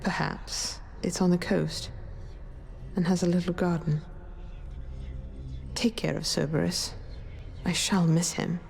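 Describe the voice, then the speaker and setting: A weary, resolute queen with a lowish, mellow voice and plenty of fry. She reads softly and wistfully, as a letter voice-over in a fantasy game. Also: level tone. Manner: soft, measured, wistful.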